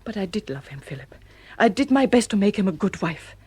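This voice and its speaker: Breathy, remorseful woman. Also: mid-range voice, very animated, fairly quick, a little mellow, no room sound. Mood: confessional, remorseful. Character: beautiful troubled wife, remorseful, self-reproaching. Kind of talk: audio drama acting, measured and melodramatic.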